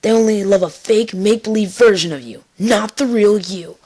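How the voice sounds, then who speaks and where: A woman's voice: medium pitch, moderate pace, a little mellow, natural voice. Woman as an earnest, blunt young pony mare. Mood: firm, reassuring. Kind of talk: fan fiction audio drama acting.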